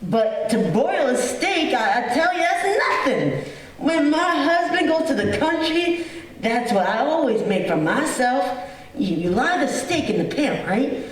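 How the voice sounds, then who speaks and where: Woman playing a homely rambling shopkeeper, old-time radio acting, lively pitch, echoey far-off theatre mic. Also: a little slow. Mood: chatty. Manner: rambling, homely, good-humoured. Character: chatty, folksy butcher shopkeeper, a married woman. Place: theatre stage. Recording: echoey theatre, distant mic, faint noise.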